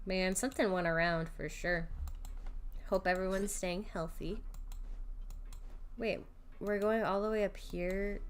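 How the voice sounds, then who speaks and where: A young woman streamer, recovering from sickness, giving casual, playful reaction commentary on a game in slangy speech. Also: medium pitch. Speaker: streamer, vtuber.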